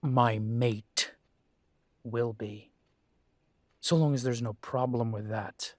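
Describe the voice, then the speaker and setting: A man playing an earnest young adult werewolf son in an audio drama, talking in a measured, steady way. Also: medium pitch, dry recording. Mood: insistent. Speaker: audio drama creator and lead voice.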